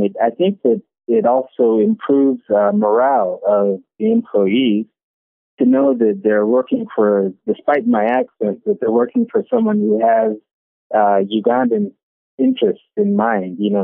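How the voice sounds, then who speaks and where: A man's voice: high-pitched, very animated, normal speed, vocal fry, dry recording. A man who runs a business, answering interview questions in a casual, hesitant way with many restarts. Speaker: business owner being interviewed. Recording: tinny phone-like line, dry.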